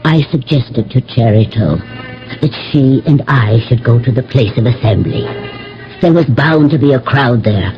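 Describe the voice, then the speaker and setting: A man's voice: mid-range voice, animated, unhurried, natural voice. An actor playing a loyal, earnest manservant of ancient Greece who tells his tale in a radio drama. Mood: earnest, recounting. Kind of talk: radio drama narration and acting. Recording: dull old radio broadcast with music.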